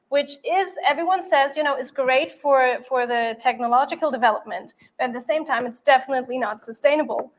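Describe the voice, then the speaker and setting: A woman putting a question to a fellow speaker in a conversational panel discussion. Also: sits high.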